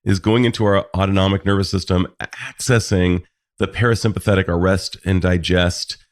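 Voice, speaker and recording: A man's voice: sits low, fairly even intonation, moderate pace. A man hosting an interview podcast, reflecting on the guest's ideas in a thoughtful, articulate way with some clinical terms. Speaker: podcast host, psychologist.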